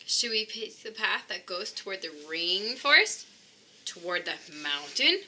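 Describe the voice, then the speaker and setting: A woman's voice: medium pitch, sing-song, measured pace, bright, forceful tone, a little hiss or hum. A woman reading a children's picture book aloud expressively, as if to young listeners. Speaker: storybook reader. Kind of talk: children's read-aloud narration.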